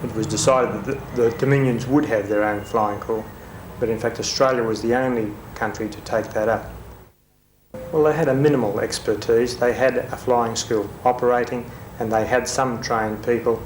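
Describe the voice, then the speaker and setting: A man's voice: medium pitch, steady tone, moderate pace. A man giving an explanation as an interviewed expert. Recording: roomy, distant mic, faint background noise.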